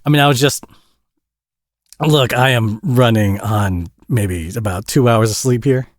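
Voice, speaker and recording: A man's voice: medium pitch, a little slow, dry recording, clean studio sound, lightly acted. A man acting a tired, flustered, friendly single dad with a Southern US accent in an intimate audio roleplay, rambling and stammering in a folksy, self-deprecating way. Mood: flustered, apologetic.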